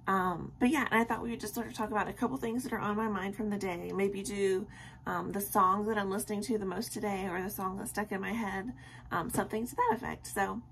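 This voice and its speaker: A young woman with a tired, scratchy voice chats casually to camera from her bedroom at night, rambling with many ums. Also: mid-range voice. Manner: rambling and friendly, with many ums and soft laughs. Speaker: vlogger.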